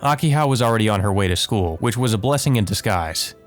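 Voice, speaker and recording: A man's voice: lowish voice, animated, quick pace. A man giving casual commentary and reading visual novel text aloud as a let's play host, with game music behind him. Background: video game music.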